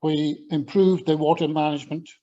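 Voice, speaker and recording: An older man from Scotland with a Scottish accent, giving a measured, thoughtful talk to a church audience, often pausing with ums and restarting sentences. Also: fairly high pitch, steady tone, warm tone, dull audio. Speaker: church talk speaker, former agriculture lecturer. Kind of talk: presenting a talk with slides.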